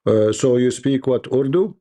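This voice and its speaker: Combative host with a mellow, dark voice. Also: male voice, mid-range voice, expressive, normal speed. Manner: combative, repetitive and quizzing. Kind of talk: live-stream debate.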